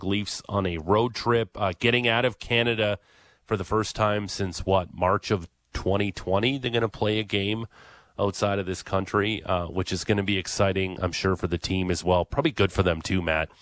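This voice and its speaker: A man hosts a sports talk radio show in a studio. His voice sits a little low and sounds dry and close on the mic. He talks casually and gives strong opinions, with plenty of fillers and restarts. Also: steady tone, moderate pace, fairly bright tone, dry recording.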